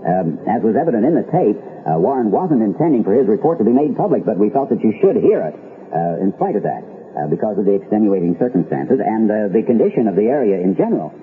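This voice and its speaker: An actor playing a flustered, apologetic radio newsroom anchor, speaking in a halting, careful way in a 1970s radio drama. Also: male voice, lowish voice, very animated. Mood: hesitant, apologetic. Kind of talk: 1970s radio drama, improvised-sounding newscast. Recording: tinny narrowband old radio broadcast.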